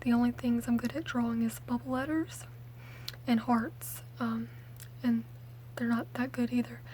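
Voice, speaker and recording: Hushed young woman, half whispering. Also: on the high side, fairly even intonation, moderate pace, slight background noise. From Mississippi, USA. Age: late teens. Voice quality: soft, hushed, often whispered. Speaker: ASMR video creator. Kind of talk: ASMR, rambling personal storytelling.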